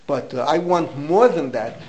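A man's voice: fairly high pitch, animated, measured pace, darkish tone. An older man with an American accent teaching an informal Torah class, emphatic and opinionated, repeating phrases for emphasis and dropping in Hebrew terms. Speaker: rabbi giving a Torah class.

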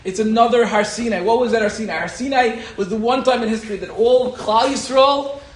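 A man, a rabbi, giving an animated Torah lecture and telling a personal story, repeating key phrases for emphasis. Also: very high-pitched, brisk, echoey room. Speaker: rabbi teaching a Torah class.

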